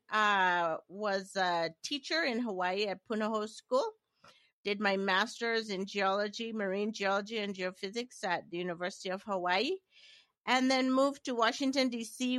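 A middle-aged woman from Nigeria, a company founder and guest, answering interview questions in a measured way with frequent fillers. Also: mid-range voice, a bit bright, no room sound.

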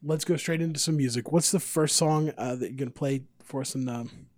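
A man's voice: medium pitch, brisk, darkish tone, no room sound, clean studio sound. A man hosting a podcast, interviewing in a casual, conversational style. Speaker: podcast host.

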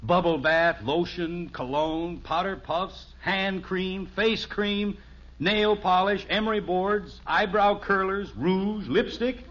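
A man's voice: high voice, fairly even intonation, slow pace, a bit bright, full character voice. An actor playing an exasperated middle-aged American father in a 1950s radio sitcom, with an emphatic, blustering manner. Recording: muffled vintage 1950s radio recording.

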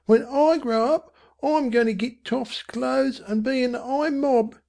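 Lady reader doing a cocky little slum kid, pitched way up, slangy, storybook stuff, bit crackly. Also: unhurried, warm tone. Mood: defiant. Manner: rough dropped-grammar slang. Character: streetwise slum boy, defiant, speaking rough London slang. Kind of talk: audiobook character voice.

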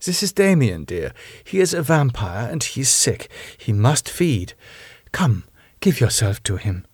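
A man's voice: medium pitch, big pitch swings, measured pace, husky, dry recording, studio quality. A man voicing a commanding female vampire, soothing, stern and formal in an audiobook story. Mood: soothing, firm. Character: commanding foreign female vampire, calmly maternal yet menacing.

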